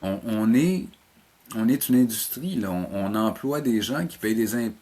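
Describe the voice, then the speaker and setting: Middle-aged male teacher, Quebec French accent, lower voice, conversational interview guest who explains in halting, repeated starts. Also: expressive, a little mellow. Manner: hesitant, repeats words while explaining. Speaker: college animation teacher and programme coordinator, interview guest.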